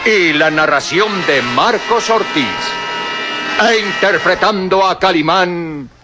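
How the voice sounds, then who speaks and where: A man narrating and announcing a vintage radio drama serial in a theatrical, booming style. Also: slow pace. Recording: old radio recording with music bed.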